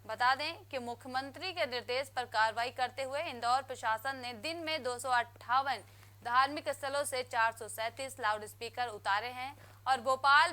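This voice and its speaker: A woman reading scripted television news bulletins in a crisp, formal newsreader manner. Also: sits high, sharp tone. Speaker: news anchor.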